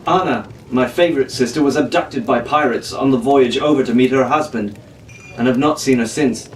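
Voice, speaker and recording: Man as an earnest young gentleman, echoey recording. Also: mid-range voice, normal speed, close to an everyday voice. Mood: sombre. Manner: polite, protective, formal. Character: earnest, gallant, proud young English gentleman adventurer. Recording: echoey room, distant mic, faint hiss.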